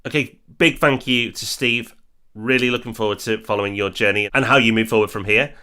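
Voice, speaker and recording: A man's voice: fairly low pitch, fairly even intonation, fairly quick, bright, forceful tone, clear, resonant tone. A man co-hosting a podcast, introducing guests in an upbeat, encouraging way. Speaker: podcast co-host. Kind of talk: scripted podcast narration.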